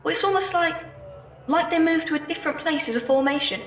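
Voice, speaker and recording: An actress as a sharp-witted young street girl in an audio drama, cheeky and eager to prove herself. Her voice is very high, and it echoes around a room, a little off the microphone. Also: quick pace, far from the mic, close to an everyday voice. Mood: eager, explaining. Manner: cheeky, colloquial, keen. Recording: echoey room, off mic, faint noise.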